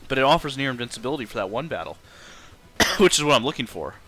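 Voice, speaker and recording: A man's voice: medium pitch, animated, quick pace, pushed and bright. A man chatting casually as he streams and plays a video game, with game music behind him. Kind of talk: casual chat while playing a video game.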